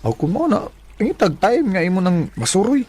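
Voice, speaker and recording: An actor with a Cebuano Filipino accent playing a friendly, easygoing, teasing co-worker in a Bisaya radio drama. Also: male voice, high-pitched, big pitch swings, fairly quick, loud music behind the voice.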